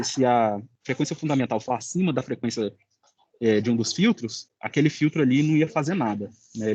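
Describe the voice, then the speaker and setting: A young Brazilian man giving an informal explanatory presentation as a student, often halting to search for words. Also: medium pitch, darkish tone, breathy edge, lo-fi sound. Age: young adult. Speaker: student presenting final project.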